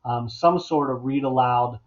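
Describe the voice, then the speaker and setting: A middle-aged man giving a casual, instructional software tutorial as an educator at his computer. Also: mid-range voice, unhurried. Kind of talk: casual instructional screencast narration. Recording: dull, lo-fi computer mic sound.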